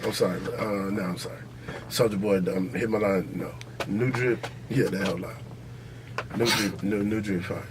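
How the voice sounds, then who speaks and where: A man's voice: on the low side, steady tone, normal speed, background noise. A man co-hosting a podcast, bantering casually and bluntly in slang about music and sports, repeating himself for emphasis. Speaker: podcast host.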